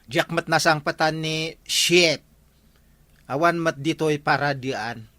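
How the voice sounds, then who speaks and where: An actor playing an excitable, obliging tricycle driver in an Ilocano village comedy drama. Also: male voice, a little high, takes it slowly, dry recording. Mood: defensive.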